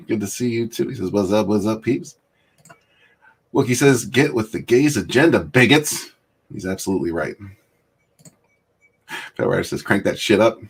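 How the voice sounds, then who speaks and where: A man's voice: on the low side, slightly rough. A man hosting a casual livestream chat, greeting viewers by name and laughing often. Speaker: livestream host.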